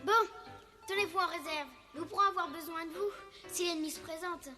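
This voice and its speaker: A woman's voice: extremely high pitch, fairly quick, bright, forceful tone, soft background music. A woman voicing an earnest, brave young boy elephant king in a French cartoon dub. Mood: determined. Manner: earnest, resolute. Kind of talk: French-dubbed cartoon acting.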